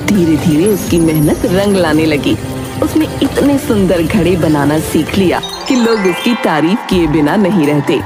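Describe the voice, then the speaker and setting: A woman's voice: on the low side, lively intonation, measured pace, over music. A woman narrating a scripted moral story for children as a storyteller.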